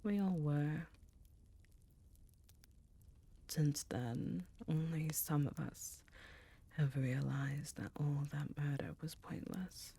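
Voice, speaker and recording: An ancient female succubus demon queen, performed by a woman for an intimate ASMR roleplay. Her voice is very deep, dark and mellow, slipping often into soft breathy whispers. She speaks slowly, with a tender, teasing and remorseful temper. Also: heavily acted. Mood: wistful, solemn.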